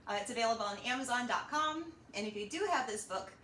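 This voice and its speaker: A woman hosting a children's storytime, reading and chatting in an animated, encouraging way straight to young listeners. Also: a little high, fast talker. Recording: roomy echo, slight background noise.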